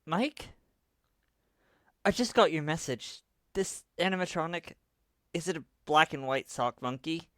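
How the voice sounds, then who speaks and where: An actor playing a guarded, serious man who has faced a monster before, explaining deliberately in an audio drama. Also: a little high, a bit bright, no echo. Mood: grave, knowing.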